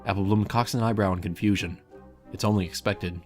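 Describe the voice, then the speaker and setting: Low-pitched man narrating a story. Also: steady tone, a little fast, a little mellow. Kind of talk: scripted fan fiction narration. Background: music.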